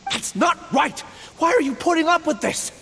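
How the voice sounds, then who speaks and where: An indignant young student. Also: male voice, very high voice, animated, moderate pace, gravelly, sharp tone, full character voice. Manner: indignant, pleading. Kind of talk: video game dialogue. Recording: long reverb, faint hiss.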